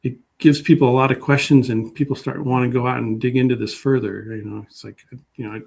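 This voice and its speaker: A man with a medium-pitched voice talks as a guest historian. His delivery is casual and modest, and he often halts while thinking aloud. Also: flat delivery, fast, vocal fry, a little mellow, husky. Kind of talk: casual interview chat.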